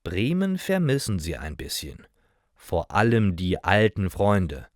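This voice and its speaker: A man's voice: sits low, big pitch swings, unhurried, dry recording, clean studio sound. A man reading a simple scripted story as a narrator for beginner language learners, in short, careful sentences. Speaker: narrator of German learning stories.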